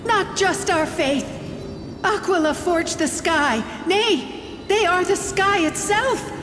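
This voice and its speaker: An echoing, extremely high female voice over music, a devout and fearful zealot in a fantasy game. She speaks slowly, with dramatic, accusing force. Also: expressive, full character voice. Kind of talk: video game voice acting. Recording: echoey hall, music behind.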